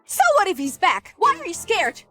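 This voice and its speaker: A woman's voice: medium pitch, lively intonation, moderate pace, a little mellow, soft background music, lightly acted. An actress playing an imperious mother-in-law in a dubbed period drama, haughty, commanding and scolding. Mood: furious. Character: imperious noble mother-in-law, a scolding, sharp-tongued matriarch.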